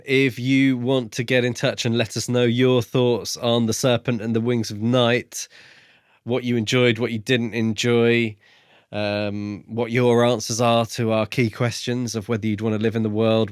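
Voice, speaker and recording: Man, low dry-recorded podcast voice. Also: smooth, no echo. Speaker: podcast co-host. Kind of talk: casual book review chat.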